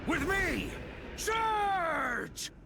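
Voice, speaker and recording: Bold commanding knight officer. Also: male voice, extremely high pitch, sing-song, extremely slow, creaky, exaggerated voice. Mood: rallying. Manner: commanding, hearty. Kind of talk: video game voice acting. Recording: game music behind the voice.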